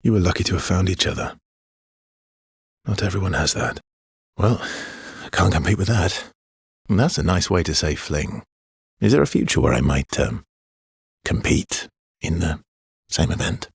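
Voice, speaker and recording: An actor playing a brooding, gently teasing, guilt-worn man in a video game, with a husky, gravelly low voice and halting, understated delivery. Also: animated, no echo, full character voice. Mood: hesitant, tender. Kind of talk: naturalistic video game character dialogue.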